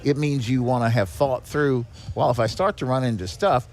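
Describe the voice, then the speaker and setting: A man's voice: mid-range voice, normal speed. A man speaking as a podcast guest, explaining things in a conversational way with rhetorical questions and frequent check-ins. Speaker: guest, executive director of a seniors nonprofit.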